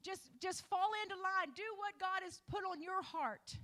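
Lady pastor preaching, high voice, builds up and shouts in repeated lines. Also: moderate pace. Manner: rising to shouts, repetitive preaching cadence. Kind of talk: sermon.

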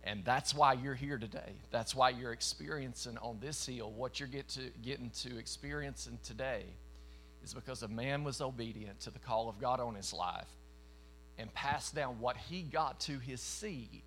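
A man in his forties with a thick East Tennessee drawl preaches to a congregation, folksy and emphatic, now and then rising into louder bursts. His voice sits at a middle pitch. A faint electrical hum runs under the recording. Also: normal speed, poor audio. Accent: East Tennessee Southern. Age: 40s. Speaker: traveling preacher. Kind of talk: sermon. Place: church meeting in front of a congregation.

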